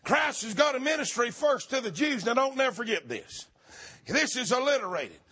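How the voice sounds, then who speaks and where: A man's voice: extremely high pitch, sing-song, pushed and bright, slightly rough. A man preaching a fiery sermon, hollering and stretching out his emphatic words. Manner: hollering, emphatic, drawn-out stresses.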